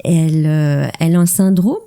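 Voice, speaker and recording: A woman hosting a conversational interview podcast, gently prompting her guest. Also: sits low, fairly even intonation, moderate pace, smooth.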